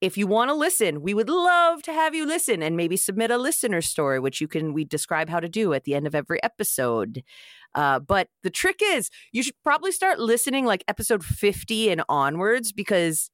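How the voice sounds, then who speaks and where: A woman's voice: medium pitch, big pitch swings, a little fast, a bit bright, no echo, studio quality. A woman who hosts a podcast, chatting casually as a guest. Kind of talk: casual chat.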